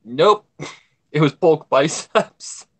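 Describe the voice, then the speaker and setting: A man's voice: fairly high pitch, lively intonation, slow. A man talking casually as a guest on a livestream, rambling and emphatic, often repeating his points. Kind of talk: casual chat.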